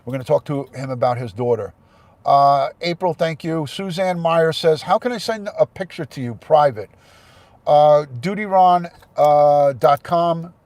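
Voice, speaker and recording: A man's voice: fairly high pitch, a little slow, a little mellow, dry recording. Stuffy-nosed man hosting a livestream. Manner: thanks chat viewers by name, chatty and rambling. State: congested with a head cold. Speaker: livestream host. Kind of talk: casual livestream chat.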